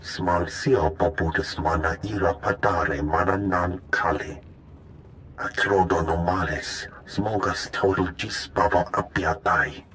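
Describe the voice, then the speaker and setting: A video game alien with a low, heavy voice. He speaks an invented tongue slowly and deliberately, over some background noise. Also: a bit bright. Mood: terse.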